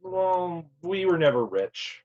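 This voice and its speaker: A man playing an earnest, grieving grown son from the future in a table read, with a halting, emotional delivery. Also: on the high side, big pitch swings, darkish tone, faint music behind, heavily acted. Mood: tender, wistful. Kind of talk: virtual table read of a play. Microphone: video call. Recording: dull, lo-fi online call audio.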